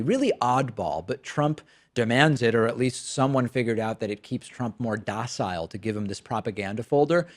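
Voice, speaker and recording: A man of middling pitch, a native Spanish speaker who hosts a political commentary show. He delivers his monologue in a sardonic, incredulous and emphatic way. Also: darkish tone. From Spanish-speaking country, raised in the United States from childhood. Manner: reads a sponsor ad.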